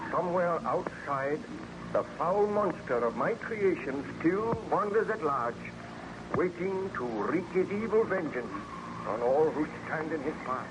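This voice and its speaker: Guilt-ridden scientist: slightly high voice, theatrical anguish, old radio horror, noisy recording. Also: male voice, lively intonation, measured pace. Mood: haunted, grave. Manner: theatrical, grave, anguished delivery.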